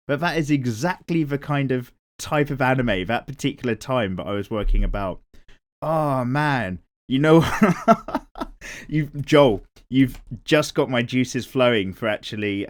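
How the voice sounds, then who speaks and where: A man with an English accent and a nasal voice, casually rambling and reading viewer comments aloud while he sketches. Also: mid-range voice. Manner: chatty and rambling, swears casually. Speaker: art channel host.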